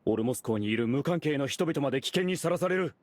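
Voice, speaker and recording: A man's voice: medium pitch, animated, fast talker, slight background noise, natural voice. An actor playing a serious, decisive younger man who takes charge in a Japanese game.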